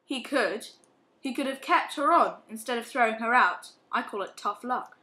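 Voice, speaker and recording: A woman's voice: fairly high pitch, normal speed, sharp tone. A woman playing a blunt, defensive young well-to-do man in an amateur radio play. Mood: protesting. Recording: echoey room, amateur recording.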